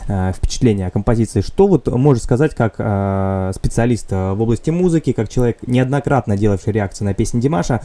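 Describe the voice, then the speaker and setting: Stream host, male, mellow and dry, formal, pauses often with fillers. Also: on the low side, lively intonation, dry recording. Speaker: channel host. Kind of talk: live-stream interview.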